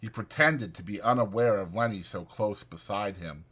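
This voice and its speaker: Male narrator, scripted fiction reading, deliberate storytelling, bright focused tone, dramatized delivery. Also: lowish voice, slightly rough. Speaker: audiobook narrator.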